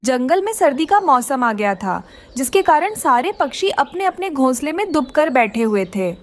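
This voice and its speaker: High-pitched woman narrating a children's story. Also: big pitch swings, moderate pace.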